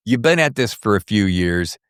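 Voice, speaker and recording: A man's voice: lowish voice, lively intonation, normal speed, clean tone, no echo, studio quality. A man hosting a podcast, interviewing in a chatty way with emphatic, showy phrasing. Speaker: podcast host.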